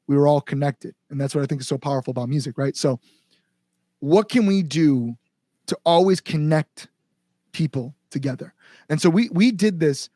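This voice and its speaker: A man's voice: fairly high pitch, a little mellow, dry recording, studio quality. An American man speaking as an interviewed band front-man, casual and earnest, with informal phrasing. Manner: earnest, informal with mild swearing.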